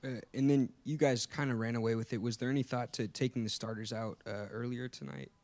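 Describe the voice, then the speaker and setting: A man's voice: medium pitch, fairly even intonation, a little fast. A man working as a reporter, putting questions at a press conference from away from the microphone. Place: indoor press conference room, away from mic. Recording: quiet, off-mic from across room.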